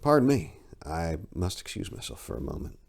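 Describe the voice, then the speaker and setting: A man's voice: deep voice, sing-song, a little fast, creaky, darkish tone, full character voice. A man voicing a polite, wry supernatural being who passes as human, courteous and understated, in a story reading. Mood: wry, apologetic.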